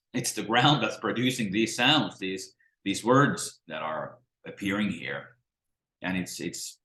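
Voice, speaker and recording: A man's voice: low-pitched, a bit bright. Musing man, roomy echo. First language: Finnish. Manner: halting, musing, many restarts and trailing off. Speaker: spiritual teacher. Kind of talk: unscripted talk to camera. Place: small room at home, indoors.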